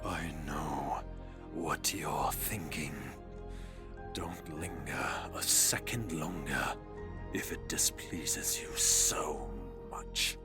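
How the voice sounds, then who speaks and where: A man playing an anguished, imperious young vampire nobleman in a theatrical, breathy audio drama style. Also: very deep voice, drawn-out words, over loud music, heavily acted. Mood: cold anger. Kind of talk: dramatic visual-novel style voice acting.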